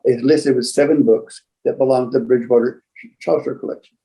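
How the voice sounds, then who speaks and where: Mellow-voiced older man telling stories. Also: medium pitch, steady tone, gravelly, rough recording. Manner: rambling, halting raconteur who acts out remembered exchanges. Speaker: museum founder and book collector, guest storyteller. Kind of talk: casual anecdotal storytelling.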